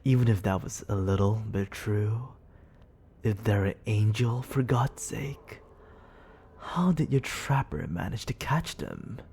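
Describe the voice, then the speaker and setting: A suave, haughty demon lord acted out for an ASMR roleplay speaks very slowly and theatrically into a close mic. The voice is creaky and swings expressively, often sinking into breathy whispers. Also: medium pitch, lively intonation, a little mellow. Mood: probing, coolly doubtful.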